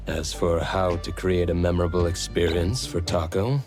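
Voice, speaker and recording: A man playing a courteous, composed, helpful leader in an anime game, speaking in a polite, measured, formal way. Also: low voice, little pitch movement, over music, close to an everyday voice. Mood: earnest, composed. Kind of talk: anime game dialogue acting.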